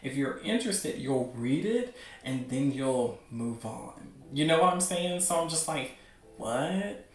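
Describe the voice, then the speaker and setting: A man hosting a show with casual, unscripted commentary, rambling as he thinks out loud. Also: medium pitch, animated, measured pace, faint music behind. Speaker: host of a webcomic news show. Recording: roomy, echoing sound.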